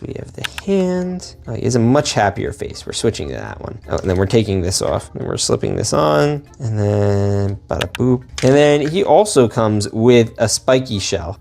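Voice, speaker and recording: A man's voice: medium pitch, darkish tone, a little hiss or hum. A man hosting a casual toy unboxing video with playful, exclamatory, slangy commentary. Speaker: host of a toy building video. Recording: background music under the voice.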